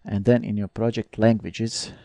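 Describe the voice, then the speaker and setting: A young man from Croatia, a native Croatian speaker, talking through a software screencast step by step with frequent "uh" fillers. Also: fairly low pitch, flat delivery, moderate pace, creaky, no echo, clean studio sound. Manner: hesitant, many "uh" fillers, step-by-step narration. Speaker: software tutorial presenter. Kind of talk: screencast tutorial.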